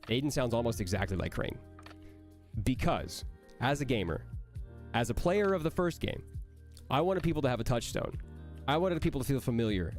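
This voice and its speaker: A man's voice: mid-range voice, a little mellow. A young man, a voice actor, reading out and answering chat questions on a livestream, with a nasal voice that sounds like he has a cold, playful and joking.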